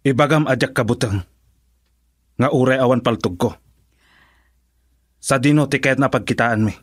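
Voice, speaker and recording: A man's voice: mid-range voice, normal speed, dry recording, lightly acted. A proud, defiant husband in an Ilocano radio drama, played by a man. His mid-range voice is recorded dry and close, with a challenging, stubborn manner. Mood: defiant, irritated.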